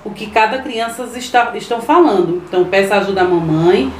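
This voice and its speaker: A woman's voice: mid-range voice, darkish tone, recorded from a distance, a little hiss or hum. Woman, middle-aged teacher, sing-song patient voice, echoey classroom, heard from across the room. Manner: slow, patient, sing-song teacher talk to small children. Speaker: primary school teacher. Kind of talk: remote lesson for young children. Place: small decorated classroom indoors. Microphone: camera microphone from a few meters away.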